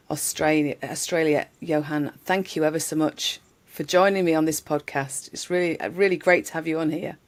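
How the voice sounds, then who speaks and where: Chatty woman with a lowish voice. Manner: chatty, laughs often, says "love". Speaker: podcast host. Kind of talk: casual interview chat.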